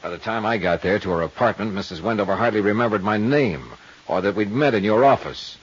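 A man's voice: on the low side, fast, breathy edge, dull audio. A man acting a hard-boiled, unflappable private investigator in a 1950s radio detective drama, with a clipped, dry, matter-of-fact delivery. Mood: brisk, insistent. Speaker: lead actor and narrator of radio drama.

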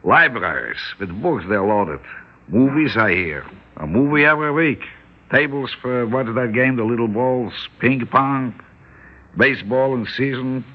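An actor playing a weary older delicatessen owner in a radio drama, wry and politely resigned. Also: male voice, mid-range voice, a little slow, close to an everyday voice. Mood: earnest coaxing. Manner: wry, resigned, politely deadpan, inverted phrasing. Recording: dull, hissy old radio recording.

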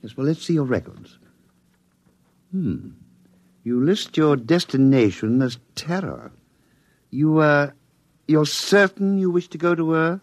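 A man playing a stern, condescending military psychologist in a radio drama, speaking in a measured, formal way. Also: a little high, no echo. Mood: cool, cautioning. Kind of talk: 1950s radio drama acting.

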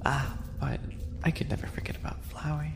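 A man playing a sly, sadistic animal-boy maid in a roleplay ASMR audio, hushed, teasing and condescending. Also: medium pitch, brisk, lightly acted. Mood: smug, teasing menace. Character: sly, smug, sadistic male animal-person maid yandere. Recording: long reverb, clearly noisy ambience.

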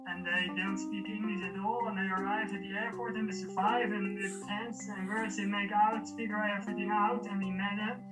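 A Dutch man with a Dutch accent chatting over a video call in halting English, pausing often while he searches for words. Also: high-pitched, flat delivery, over loud music, poor audio. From Netherlands. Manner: halting English with many pauses. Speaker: guest.

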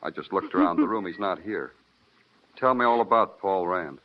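An actor playing a sardonic, hard-boiled police detective in an old-time radio crime drama, questioning in a clipped, dry way. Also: male voice, low-pitched, moderate pace, close to an everyday voice. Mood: cold, pressing. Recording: dull vintage radio recording with music.

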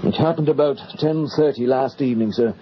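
An actor playing a grave, courteous English police inspector in a radio horror drama, measured and formal, on an old recording. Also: male voice, medium pitch, dull audio, a little hiss or hum, close to an everyday voice. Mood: somber.

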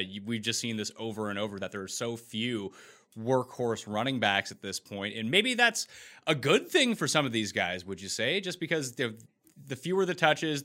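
Bright-voiced Canadian man hosting podcast. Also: mid-range voice. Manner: conversational, asks long framing questions. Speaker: podcast host. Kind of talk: sports podcast interview.

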